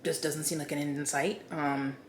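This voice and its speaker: American lady vlogging, kinda low voice, chatty and opinionated, roomy sound w a bit of noise. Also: flat delivery, moderate pace. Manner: informal, conversational, opinionated. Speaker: book vlogger and host. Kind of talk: casual chat to viewers. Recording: echoey room with faint background noise.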